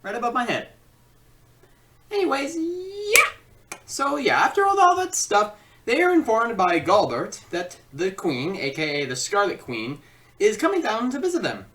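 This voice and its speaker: A woman giving a casual, rambling, excitable comedic film review. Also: on the high side, a little slow, recorded from a distance, slight background noise.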